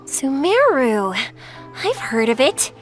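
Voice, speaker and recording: A woman playing a cheerful, excitable young fireworks artisan in a video game, chatty and informal. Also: high voice, slow, faint music behind, full character voice. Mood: eager, reflective. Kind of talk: animated video game voice acting.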